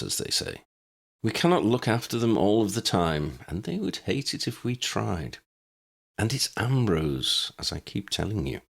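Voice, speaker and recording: A man voicing a calm, courteous old man in an audiobook, speaking in a measured, formal and unruffled way. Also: fairly low pitch, creaky, voice pushed up, exaggerated voice. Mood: calm, firm. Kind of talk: audiobook character voice.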